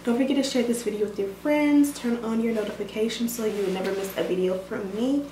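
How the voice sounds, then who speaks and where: A woman reviewing a wig for her viewers in casual chat, her voice hoarse and scratchy from a cold, with many fillers. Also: fairly high pitch, fast talker, warm tone. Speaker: beauty vlogger reviewing a wig. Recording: roomy room sound, mic at a distance, faint noise.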